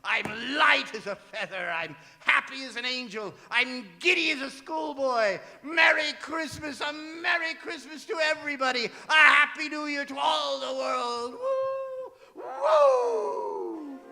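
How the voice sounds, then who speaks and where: An excitable old english-miser type turned joyful, creaky high voice, radio play in an echoey hall. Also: male voice, slow pace, full character voice. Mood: giddy, exultant. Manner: chuckling, stammering, excitable old gentleman. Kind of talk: theatrical radio drama acting. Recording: reverberant stage hall, faint music behind.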